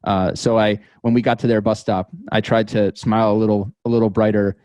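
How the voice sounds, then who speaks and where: An American man in his thirties telling personal stories as an interview guest, thoughtful and halting, often repeating words as he goes. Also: lowish voice, level tone, darkish tone, no echo. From New York metropolitan area, United States. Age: 30s. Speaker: podcast guest. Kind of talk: reflective interview storytelling.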